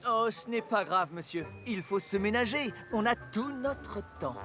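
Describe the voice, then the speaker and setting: Young talking car: high boyish voice, polite and eager, cartoon dub, faint music behind. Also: male voice, expressive, a little slow, fairly bright tone. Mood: polite, weary. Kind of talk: animated children's cartoon dub.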